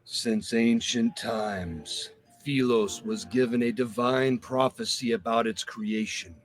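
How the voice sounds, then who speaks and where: An actor playing a calm, solemn bishop in a fantasy video game, speaking in a formal, measured, prophetic manner. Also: male voice, medium pitch, soft background music, lightly acted.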